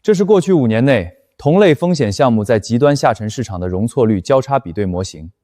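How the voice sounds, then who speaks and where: A man playing a commanding, unflappable company boss in an animated drama, speaking with precise, businesslike authority. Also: mid-range voice, fairly quick, no room sound, natural voice. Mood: confident, persuasive. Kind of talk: animated web drama dialogue.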